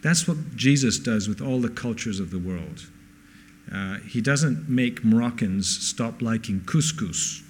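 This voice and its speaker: A man's voice: medium pitch, lively intonation, unhurried, a little hiss or hum. A man born in Spain with a mixed international accent, preaching to a congregation with frequent rhetorical questions. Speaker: pastor. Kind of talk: sermon. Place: church service.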